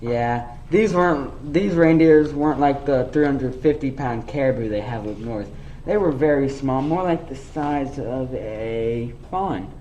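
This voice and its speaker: A man playing an earnest professor and family father, haltingly recounting a marvel in a radio play. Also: medium pitch, dark tone, lightly acted. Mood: wondering. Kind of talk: radio play storytelling in verse. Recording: echoey room, faint noise.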